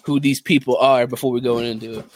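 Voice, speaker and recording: A man's voice: mid-range voice, steady tone, quick pace. A man co-hosting a podcast in casual banter. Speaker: podcast co-host.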